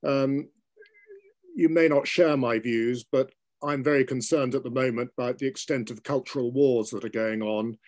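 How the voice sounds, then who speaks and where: A man's voice: medium pitch, steady tone, moderate pace. An older man with a British accent giving a formal, articulate prepared speech as a guest on a video call. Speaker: invited guest speaker, arts patron. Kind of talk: prepared speech at an online launch event. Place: book-lined room at home. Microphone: video call, seated at computer.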